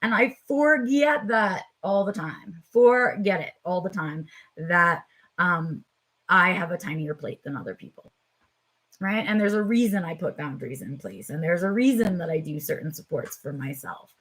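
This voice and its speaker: A woman hosting her own live vlog in a casual, chatty way, her voice a little raspy and tired, with frequent ums as she talks straight to her viewers. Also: on the low side, lively intonation, moderate pace, a bit bright, low-quality recording. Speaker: vlog host. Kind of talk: casual unscripted live chat.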